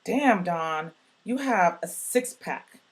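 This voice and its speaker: Woman quoting an excited teenage girlfriend. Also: slow. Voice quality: deep voice. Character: enthusiastic teenage girl teammate.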